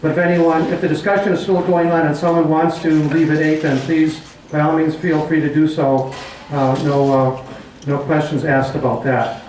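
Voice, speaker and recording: A middle-aged man organizing a community forum, making announcements in a halting way full of fillers. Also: on the high side, flat delivery, darkish tone, a little hiss or hum. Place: community hall meeting room. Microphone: handheld mic, far camera. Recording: echoey hall from far off.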